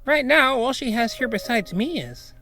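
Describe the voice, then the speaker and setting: Man voicing an earnest, high-pitched talking cat. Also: lively intonation, normal speed, bright, forceful tone, slight background noise, full character voice. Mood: concerned. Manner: hesitant, casual, chatty. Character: talking young male cat, earnest, fond of his owner. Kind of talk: audio story character voice.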